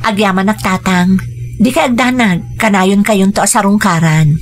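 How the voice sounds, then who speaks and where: A woman playing a devoted, gentle young woman hoping to become a stepmother, in a radio drama. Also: mid-range voice, moderate pace, soft background music, close to an everyday voice. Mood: earnest, reassuring. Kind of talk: Ilocano radio drama acting.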